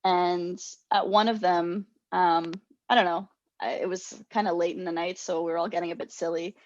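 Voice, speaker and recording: A woman's voice: on the low side, fairly even intonation, normal speed, muffled recording. A young adult woman co-hosting a podcast, telling a rambling, self-deprecating story with lots of laughter. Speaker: podcast co-host. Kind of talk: casual storytelling chat.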